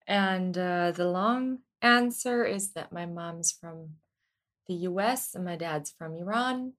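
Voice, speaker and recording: A woman's voice: fairly low pitch, takes it slowly, a bit bright. A woman from Germany speaking casually and reflectively as an interview guest, hesitantly searching for her words. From Freiburg, Germany.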